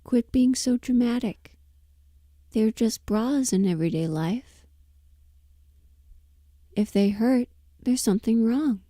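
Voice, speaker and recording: A woman chatting casually and emphatically on a livestream, rambling in an animated, opinionated way. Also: a little high, slow, long pauses, dry recording, studio quality. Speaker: livestream host and voice performer.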